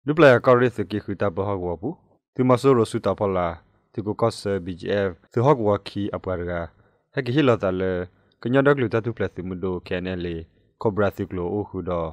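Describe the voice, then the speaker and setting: A man's voice: on the low side, no echo. A man reading a scripted radio news report as a newsreader.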